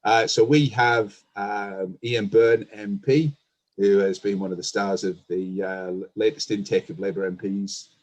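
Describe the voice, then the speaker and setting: A man's voice: lowish voice, steady tone, a little slow, low-quality recording. A man hosting an online talk show, welcoming guests in a friendly, hesitant way with frequent fillers. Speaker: host. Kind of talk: casual online panel interview.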